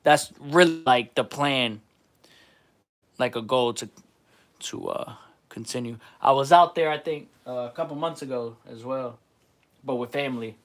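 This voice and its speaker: A young man with a New Jersey accent talks easily on a livestream, answering chat in a relaxed, slangy way full of little fillers. His voice sits at an ordinary middle pitch and comes through a plain computer mic. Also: measured pace, pauses often. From New Jersey, USA. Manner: laid-back slangy talk with fillers. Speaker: artist livestreaming. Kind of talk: casual livestream chat, reading viewer comments.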